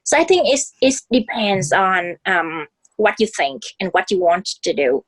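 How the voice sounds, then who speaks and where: A woman's voice: mid-range voice, sing-song, measured pace, low-quality recording. A woman host from Vietnam with a Vietnamese accent, speaking candidly and hesitantly in casual conversation, with many fillers and repeats.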